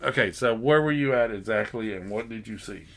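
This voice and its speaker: A man's voice: lowish voice, brisk, creaky, a bit bright. A man playing a jokey, incredulous reporter in an improvised mock news interview, skeptical and breaking into amusement. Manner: teasing, skeptical, breaks into laughter. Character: female TV news reporter Mary McBigbottom, folksy, incredulous, jokey.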